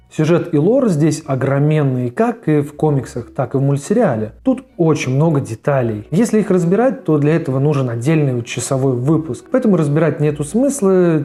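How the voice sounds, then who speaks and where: Guy narrating a casual cartoon review, soft mellow tone, some music behind him. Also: a little high. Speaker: host of a cartoon review show. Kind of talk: casual video essay narration. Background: background music under the voice.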